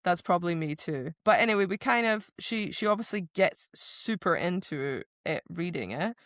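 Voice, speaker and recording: A woman's voice: on the low side, animated, normal speed, fairly bright tone, no echo, clean studio sound. A woman co-hosting a podcast, recapping and explaining a book in a casual, conversational way. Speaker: podcast co-host.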